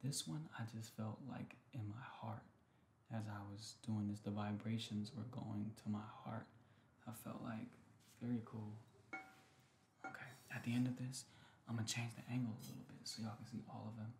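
A man hosting ASMR, speaking softly and often in a whisper, in a gentle, intimate, unhurried way. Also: lowish voice, steady tone. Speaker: ASMR video host. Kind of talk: soft-spoken ASMR chat, often whispering. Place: small decorated room indoors. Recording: roomy echo, faint background noise. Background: ringing singing bowls.

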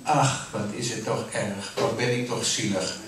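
A man's voice: fairly low pitch, steady tone, measured pace, over music, voice pushed down. A man voicing a lonely female Christmas angel ornament in a storybook, in a sighing, wistful voice. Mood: forlorn. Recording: echoey, distant, noisy.

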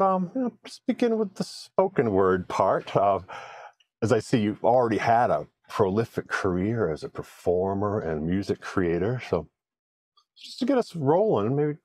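Man, 50s, very low voice, easygoing video-call host, dry sound. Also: darkish tone, no room sound. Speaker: summit host. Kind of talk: hosted interview introduction. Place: home office with plants. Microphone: video call webcam.

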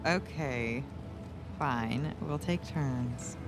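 A woman playing a confident, warm, middle-aged American wife and adventurous journalist in a naturalistic video game cutscene, with a teasing, assured manner. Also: rumbling low, extremely slow, full character voice. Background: music.

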